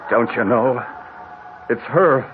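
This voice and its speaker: A man's voice: mid-range voice, slow, over music, close to an everyday voice. An actor playing a shaken traveler who insists urgently on what he saw, in melodramatic old-time radio horror style. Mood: alarmed, insistent. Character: shaken, insistent male traveler, spooked by a ghost. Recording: tinny, noisy vintage radio recording.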